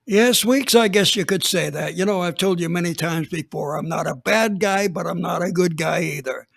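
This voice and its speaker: A man's voice: sits high, normal speed, gravelly, fairly bright tone. A man reading a dramatized first-person story as a police chief, plainspoken and matter-of-fact.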